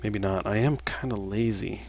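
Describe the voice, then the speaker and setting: A man in his thirties chatting casually on a livestream while he plays, a game developer answering viewer questions in a relaxed, halting way with many fillers. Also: on the low side. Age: 30s.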